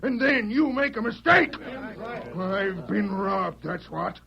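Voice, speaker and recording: An actor playing an excitable, folksy old gold prospector with a rustic American drawl in a radio western. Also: male voice, high voice, slow, creaky, heavily acted. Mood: furious accusing. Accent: American, rustic Old West drawl. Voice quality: cackling, folksy. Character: cackling old gold prospector, gullible, quick to anger. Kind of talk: 1940s radio western acting. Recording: muffled lo-fi old radio recording.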